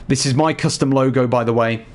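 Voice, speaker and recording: A man with a slightly hoarse voice chatting casually to viewers while walking them through a game showcase. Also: mid-range voice, fairly even intonation, a little fast, darkish tone. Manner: chatty, addresses viewers directly. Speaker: gaming YouTuber. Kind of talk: casual game tutorial voice-over.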